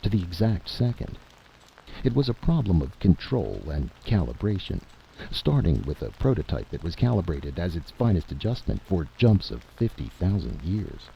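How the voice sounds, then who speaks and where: A man with a gravelly voice reading a dramatic scripted story as an audiobook narrator. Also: low voice, very animated, normal speed, dull audio, a little hiss or hum.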